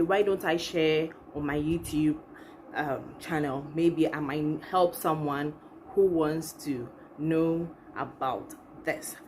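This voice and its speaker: A woman's voice: sits low, a little hiss or hum. A young woman with a Ghanaian accent chats casually and expressively to her viewers as a channel host, recorded in a living room. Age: young adult. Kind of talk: casual chat to camera. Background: background music.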